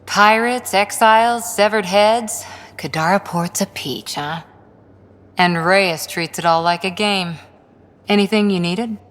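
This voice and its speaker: A woman playing a composed, seasoned ex-commando in a video game, telling stories in a dry, measured, naturalistic way. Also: medium pitch, fairly bright tone, close to an everyday voice. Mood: dry, sardonic. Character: composed female soldier, seasoned ex-commando crewmate.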